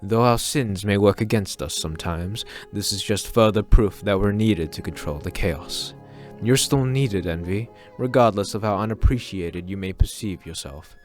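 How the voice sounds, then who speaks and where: A man playing an authoritative demon boss in an audio drama, measured and formal, stern yet encouraging. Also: lowish voice, faint music behind, natural voice. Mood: earnest, firm. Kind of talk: fiction podcast audio drama acting.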